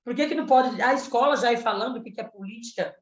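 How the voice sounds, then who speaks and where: A woman in her thirties from Pernambuco speaks with earnest activist conviction, often tagging her points with questions. Her voice sits fairly high. It comes through a roomy, dull lo-fi line, like a video call. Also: fast talker. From Pernambuco, Brazil. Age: 30s. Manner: earnest, activist, frequent "né?" tags. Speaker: candidate for state legislature. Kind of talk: panel debate answer.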